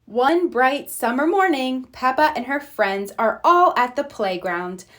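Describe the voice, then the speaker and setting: Slow, lively woman reading a children's story. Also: high voice. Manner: expressive, clearly paced for young children. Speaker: read-aloud storyteller. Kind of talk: scripted children's storybook narration.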